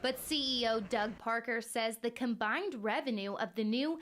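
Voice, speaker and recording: A woman's voice: fairly high pitch, moderate pace, fairly bright tone. An American woman in her twenties delivering scripted television news narration as a reporter, in a polished, emphatic way. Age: 20s.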